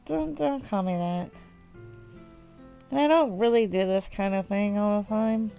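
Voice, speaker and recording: A woman's voice: mid-range voice, unhurried, gravelly, lo-fi sound, faint music behind. A woman voicing a caring, bashful teenage best friend in a visual novel read-aloud, hesitant and understated.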